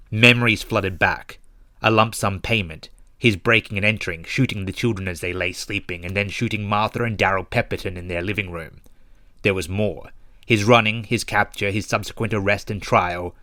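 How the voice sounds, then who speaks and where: A man narrating a scripted horror story in a grave, measured, ominous and dramatic manner. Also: fairly low pitch, bright, forceful tone, dry recording, studio quality. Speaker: narrator and author of horror short stories.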